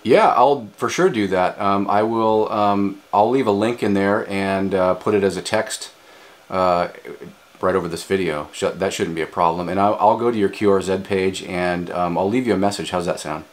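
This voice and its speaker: An American man in his forties with a low voice. He talks casually, as in a hands-on hobby demonstration, recorded in a small home office. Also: moderate pace. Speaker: amateur radio vlogger. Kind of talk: casual demonstration and radio chat.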